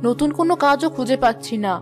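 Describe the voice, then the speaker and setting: A weary mother in a children's cartoon , acted by a woman. Her voice is high, and she speaks with worry and resignation. Soft music plays faintly underneath. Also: fast, natural voice. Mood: worried, resigned. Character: weary, worried mother of a poor family.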